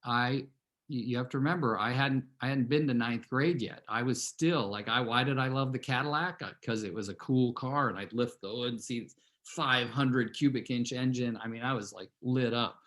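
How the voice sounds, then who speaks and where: A man in his sixties talks to his team in a loose, storytelling way. He rambles, circles back and restarts his sentences, and fills the gaps with ums and uhs. His voice sits at an ordinary middle pitch for a man. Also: normal speed, a bit bright. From California, USA. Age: 60s. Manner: rambling, conversational, many fillers and restarts. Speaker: real estate team leader.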